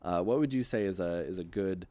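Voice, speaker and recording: Smooth, low-voiced male stream host. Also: brisk, dark tone. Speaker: livestream host interviewing guest. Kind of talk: casual interview chat.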